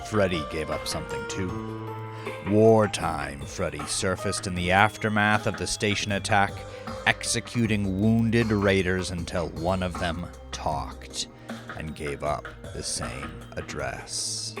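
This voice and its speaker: A man narrating his own dark novel like a dramatic audiobook, brooding and deliberate, with gravelly phrases that often sink toward breathiness. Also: low voice. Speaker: novelist reading own novel, podcast host. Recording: faint music bed under voice. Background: faint music.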